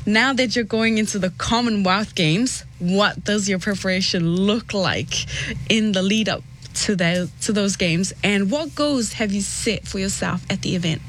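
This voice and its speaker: Effusive woman interviewer, faint background noise. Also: mid-range voice. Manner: effusive, halting, repeats phrases for emphasis. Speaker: interviewer, host. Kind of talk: conversational interview.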